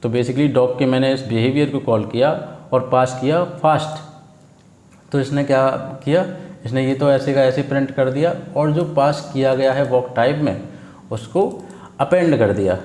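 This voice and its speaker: An Indian man teaches in an informal screen-share tutorial style, recorded with a roomy echo. His pitch is average for a man. He explains step by step, posing questions, answering them and checking in often. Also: moderate pace, a little mellow. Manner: didactic, asks and answers own questions, frequent check words. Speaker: programming tutor. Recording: echoey, roomy sound.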